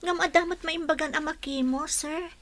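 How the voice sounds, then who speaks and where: An actress with a Filipino Ilocano accent playing an earnest, caring and spirited young caregiver in a radio drama. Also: female voice, high-pitched, normal speed, no room sound. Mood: gently encouraging. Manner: earnest, pleading, expressive. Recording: noisy radio drama recording.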